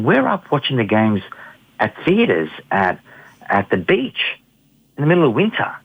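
Australian male guest, middle-aged. Creaky voice, emphatic and conversational, heard over a dry, narrow phone-like connection. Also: medium pitch, no room sound. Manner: earnest, emphatic, repeats phrases. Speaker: interview guest. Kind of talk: conversational interview answer.

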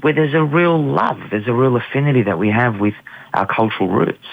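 A man's voice: mid-range voice, a little fast, creaky, dry recording. A middle-aged man with an Australian accent answering interview questions in an earnest, emphatic, conversational way, often repeating phrases. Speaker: interview guest. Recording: narrow phone-like line, dry.

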